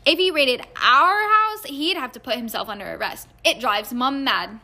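Woman playing a storybook teenage boy, mischievous, eager, talkative, bright, fairly high. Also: lively intonation, moderate pace, exaggerated voice. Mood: amused. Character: teenage wizard boy, chatty, mischievous schemer among brothers.

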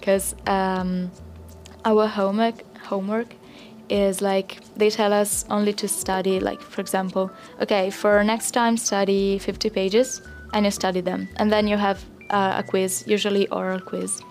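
Flat-toned young woman. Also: medium pitch, a little slow, faint music behind. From Italy. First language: Italian. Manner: hesitant with fillers. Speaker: guest student. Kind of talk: casual interview answers.

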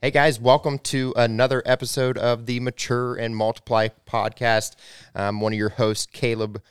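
A man's voice: mid-range voice, level tone, moderate pace, smooth, dry recording, studio quality, soft background music. A young man in his twenties hosting a church podcast, casual and rambling with many restarts. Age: 20s. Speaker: podcast host.

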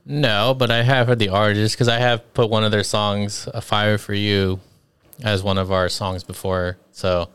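A man's voice: on the low side, little pitch movement, a little slow, a bit bright, clean tone. A man co-hosting a podcast in casual chat, easygoing and hesitant, his sentences full of fillers and restarts. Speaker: podcast co-host.

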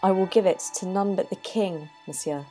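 A woman's voice: lowish voice, moderate pace, dark tone, natural voice, rough recording. An actress playing a proud, defiant and indignant queen, regal and formal, in an audio drama. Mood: guarded, proud. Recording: music behind the voice.

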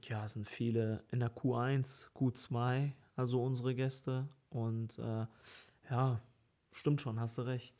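A relaxed man in his thirties chats on a podcast about his early working life. He talks loosely, with plenty of filler words, and laughs easily. His voice sits at an ordinary middle pitch. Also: slow. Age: 30s. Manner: easygoing, laughs often, many fillers. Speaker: party organizer. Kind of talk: casual podcast chat.

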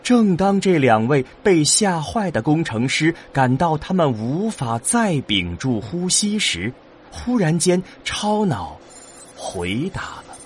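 A man reading scripted science fiction narration dramatically, with suspenseful pauses. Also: fairly high pitch, sing-song, slight background noise. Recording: clear music bed behind. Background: music.

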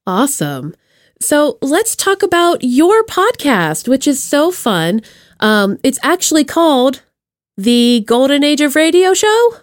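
A woman's voice: high voice, sing-song, takes it slowly, dry recording, clean studio sound. A woman hosting a podcast, interviewing in a casual, chatty style. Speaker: podcast host.

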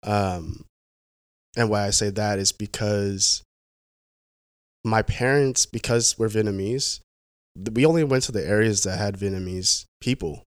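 A young man speaks in a low voice, easygoing but halting, restarting phrases and leaning on fillers as he tells his story. The sound is dry and close, with no room behind him. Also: clean tone, no echo. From Pacific Northwest, United States. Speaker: guest. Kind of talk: casual conversational interview.

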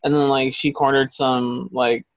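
A man's voice: medium pitch, level tone, unhurried, no room sound, highs cut off. A man co-hosting a podcast in casual chat, talking in a heated, profane, rambling way. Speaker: podcast co-host.

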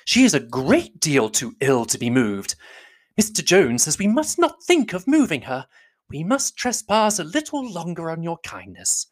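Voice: medium pitch, wildly swooping pitch, moderate pace, pitched above the performer's own voice. A reader voicing a fussy, effusive middle-aged mother who is easily offended, with a gushing, emphatic manner. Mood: plaintive, insistent.

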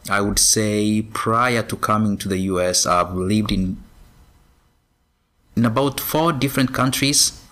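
Soft-spoken man with a low voice. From Kenya. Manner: measured, many pauses and ums. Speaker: guest, former professional runner. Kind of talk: casual interview answers.

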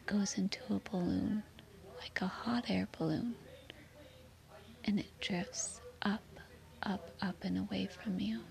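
A woman guiding a children's sleep meditation in a soothing, gently reassuring manner. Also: mid-range voice, creaky. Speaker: meditation guide.

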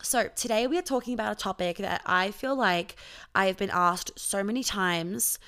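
A woman's voice: medium pitch, moderate pace, fairly bright tone, clean tone. Chatty, foul-mouthed young woman. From born in Australia, raised in New York, Sydney and Melbourne. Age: young adult. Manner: chatty and candid, swears freely, lots of "like" fillers. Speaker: podcast host and intimacy coach. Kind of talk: casual solo podcast monologue.

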